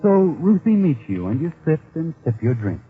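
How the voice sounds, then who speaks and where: An actor playing a knowing, taunting storyteller, narrating a 1940s radio mystery in a dramatic, sardonic manner. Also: male voice, a little high, very animated, normal speed, soft background music. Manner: sardonic, dramatic, addressing the character directly. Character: knowing, taunting male storyteller haunting a crime tale. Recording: thin, phone-like vintage 1940s radio recording.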